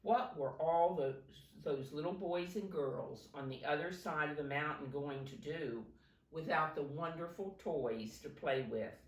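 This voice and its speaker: A woman reading a picture book aloud to young children like a teacher, in simple deliberate phrases, pausing to point things out. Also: low-pitched. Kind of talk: animated children's storybook read-aloud. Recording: roomy echo, distant microphone.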